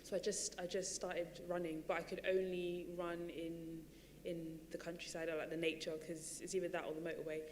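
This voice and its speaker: A woman speaking on a panel in a big theatre, her talk chatty and loose, full of "like" and "um." Her voice sits a little low, and a long reverb from the hall trails each phrase. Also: a little mellow, slight background noise. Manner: chatty, many fillers and restarts. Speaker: panel speaker, running coach. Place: large theatre stage.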